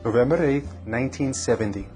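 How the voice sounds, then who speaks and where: A man's voice: medium pitch, a little fast, dark tone, faint music behind, natural voice. A man playing a polite, cooperative middle-aged citizenship applicant in a scripted educational dramatization, giving brief, careful answers.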